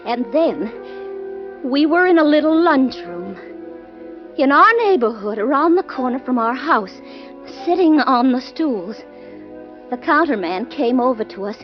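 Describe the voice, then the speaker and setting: A frightened, pleading wife in old radio drama. Her high voice is earnest and plaintive. It comes through a dull recording with some music. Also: lively intonation, unhurried. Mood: anxious recounting. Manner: earnest, plaintive, emphatic repetition. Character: Helen, terrified wife recounting a nightmare.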